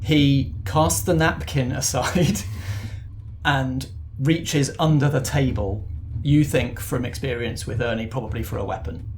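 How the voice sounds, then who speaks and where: A man runs a tabletop roleplaying game, narrating scenes as he makes them up. His voice is mid-pitched. There is a faint layer of noise behind him. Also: fairly even intonation, a little slow, darkish tone. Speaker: game master.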